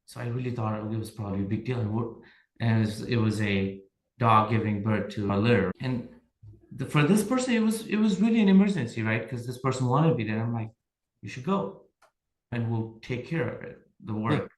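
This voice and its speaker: A man's voice: medium pitch, lively intonation, a little fast. Guy chatting on a podcast, reflective, tells stories, kinda echoey room. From Nepal. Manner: reflective, tells workplace stories, often checks in with "right?". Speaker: podcast guest, HR leader. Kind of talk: casual conversation.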